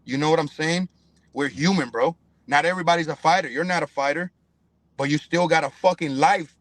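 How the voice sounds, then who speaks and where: A young man speaks in a high voice with a dry, close sound. He is a fighter from Cuba giving an interview in casual, profane slang. His delivery is emphatic and sprinkled with familiar check-ins. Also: a little fast, a bit bright, no echo. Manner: emphatic, slangy, punctuated with "bro" and "you know what I'm saying". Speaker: professional fighter, podcast guest.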